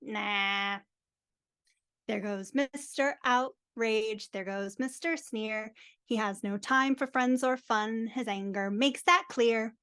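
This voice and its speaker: A woman playing gossipy townsfolk, reciting rhyming lyrics in a deliberate rhythm during a live reading. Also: fairly high pitch, a bit bright, smooth. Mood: scornful, gossipy. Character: gossipy townsfolk chorus mocking a miser. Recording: online video call track.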